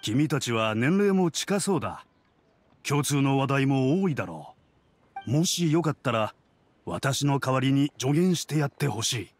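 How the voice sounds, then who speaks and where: A man voicing a kindly, considerate middle-aged uncle who runs a teahouse, speaking in a measured way in an anime game. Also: mid-range voice, big pitch swings. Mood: gentle.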